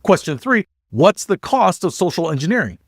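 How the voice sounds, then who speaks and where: A man reading scripted explanatory narration in a measured, emphatic, structured way, as in a video essay. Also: high-pitched, big pitch swings, dry recording, studio quality. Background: background music.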